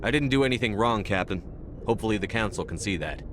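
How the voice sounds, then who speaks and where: An actor playing a stoic human military commander in a sci-fi video game, speaking tersely and with control. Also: male voice, on the low side, animated, fast talker, noisy background, natural voice. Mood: firm.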